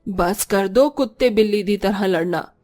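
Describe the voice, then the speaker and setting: A woman's voice: medium pitch, measured pace, dark tone, faint music behind, voice pushed down, natural voice. A woman voicing a firm older mother-in-law in a cartoon, motherly and admonishing.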